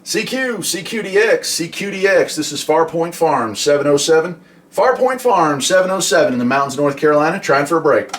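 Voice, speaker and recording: A man's voice: a little high, lively intonation, a little fast, a little hiss or hum. A man from the United States talking casually and explaining his radio hobby in a chatty, folksy way. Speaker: hobby vlogger and CB radio operator. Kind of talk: casual explainer talk with radio call demonstrations.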